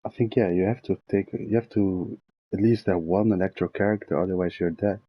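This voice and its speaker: A man's voice: deep voice, fairly even intonation, fairly quick, breathy edge, lo-fi sound. A man giving casual, informal gameplay commentary as a streamer, thinking aloud as he plays. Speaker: video game streamer. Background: game music.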